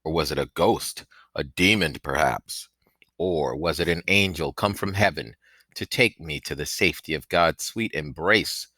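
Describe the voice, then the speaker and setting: Man, low-pitched, bright forceful tone, dramatic audiobook narration, expressive, close dry sound. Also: moderate pace, no echo. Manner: theatrical, expressive delivery. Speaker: audiobook reader.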